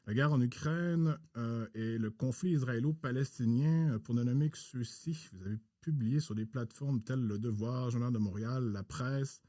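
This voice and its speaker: A man hosting a podcast interview in a formal, halting way with many pauses. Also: mid-range voice, warm tone, no room sound.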